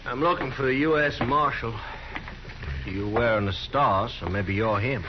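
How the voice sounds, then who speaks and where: A blunt cattle-drive cowboy acted by a man with a Texan accent and a medium-pitched voice. His speech is curt and plain, in 1950s radio acting style. The recording is dull and slightly noisy. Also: normal speed, close to an everyday voice. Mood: blunt, guarded. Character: blunt, terse Texas trail-herd cowboy.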